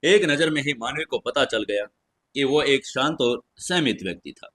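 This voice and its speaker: A man's voice: mid-range voice, expressive, moderate pace, pushed and bright. A man narrating a scripted fantasy audio story in a dramatic storytelling style.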